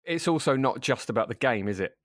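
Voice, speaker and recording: A man's voice: mid-range voice, lively intonation, brisk, dry recording. A dry-witted man, chatting casually as a football podcast panellist.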